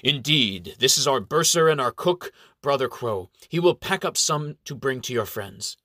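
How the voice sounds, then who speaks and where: A man's voice: medium pitch, lively intonation, moderate pace, pushed and bright, no echo, clean studio sound. A man voicing a courtly male inquisitor who speaks formally and piously. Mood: explaining. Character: courtly male inquisitor leading a friars' party.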